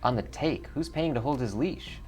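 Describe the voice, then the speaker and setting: A man's voice: mid-range voice, expressive, normal speed, a little mellow, voice pushed up, lightly acted. Man playing a cool loyal investigator, questioning, sardonic, plain delivery, game hero, music in background. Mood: inquiring.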